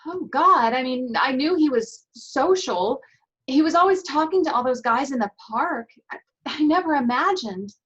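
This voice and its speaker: A woman's voice: sits high, animated, moderate pace, a bit bright, highs cut off. A woman in a staged play reading, playing a fragile, shaken young Barbie doll, tentative and sweet.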